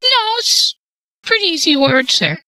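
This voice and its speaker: A man using voice changing software to play a muddled woman who rambles and feigns confusion while stringing along a scam caller. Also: extremely high pitch, wildly swooping pitch, a little slow, full character voice, rough recording. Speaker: scambaiter. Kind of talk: prank phone call.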